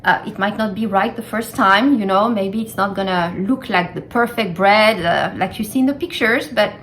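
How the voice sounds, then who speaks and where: A woman's voice: mid-range voice, normal speed, fairly bright tone, slight background noise, low-quality recording. A middle-aged woman from France with a French accent, chatting casually and explaining things step by step in her home kitchen, repeating points for emphasis. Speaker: cooking vlogger.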